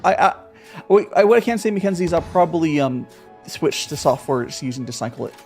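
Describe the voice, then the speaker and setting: Gravelly, rambling game streamer. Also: mid-range voice, animated, quick pace, darkish tone, faint music behind. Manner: thinking aloud with many fillers and repeats. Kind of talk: casual live gameplay commentary.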